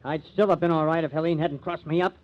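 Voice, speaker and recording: An actor playing a scheming, cornered killer, agitated and self-justifying, in a 1950s radio mystery. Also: male voice, on the high side, fairly even intonation, quick pace, close to an everyday voice. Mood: bitter, confessing. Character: scheming, cornered male killer, bitter and defensive. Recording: dull old radio recording.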